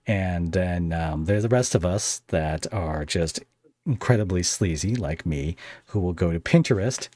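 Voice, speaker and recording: Podcast host, male, deep voice, close dry sound, casual and self-deprecating, wanders through his questions. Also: unhurried, darkish tone, no echo. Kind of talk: casual conversational interview.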